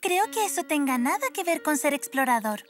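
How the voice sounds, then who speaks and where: A woman's voice: sits high, sing-song, brisk, heavy background noise. A woman voicing a sensible, indignant young girl scout in a dubbed children's TV show, earnest and scolding. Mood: disapproving. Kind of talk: children's TV dub.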